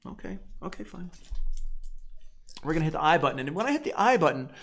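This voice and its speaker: A man's voice: a little high, very animated, quick pace, creaky, fairly bright tone. A man teaching audio production in a casual live online tutorial, thinking aloud informally as he works.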